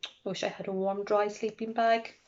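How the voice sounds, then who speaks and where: A woman voicing a sad, plaintive fox in a children's storybook, quiet and subdued. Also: medium pitch, little pitch movement, moderate pace, muffled recording, lightly acted.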